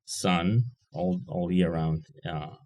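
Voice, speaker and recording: A man, a guest in an interview, speaking hesitantly with many pauses and a dry, self-deprecating manner. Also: sits low, completely flat delivery, a little mellow. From Puerto Rico. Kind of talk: casual interview chat.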